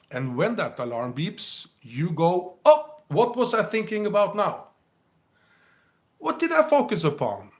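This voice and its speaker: A Norwegian-accented man talks at an unhurried, drawn-out pace into his phone while hosting a live stream. His voice sits fairly high for a man. He reads aloud in a gentle, easygoing way and chuckles often. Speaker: host of a live spiritual stream. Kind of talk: casual live-stream chat, reading comments and passages aloud.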